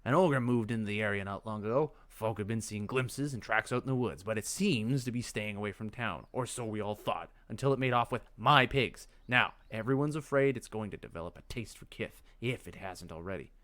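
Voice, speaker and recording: A man's voice: lowish voice, a little fast, hardly any pauses, a bit bright, dry recording, close to an everyday voice. A man voicing an angry, foul-mouthed, blustering pig farmer in a fantasy video game. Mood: indignant grumbling. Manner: blustering, cursing.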